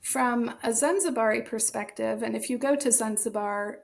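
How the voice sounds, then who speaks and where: American female podcast guest, articulate and emphatic, fairly high pitch with vocal fry. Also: moderate pace. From United States. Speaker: author and translator, interview guest. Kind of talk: conversational podcast interview.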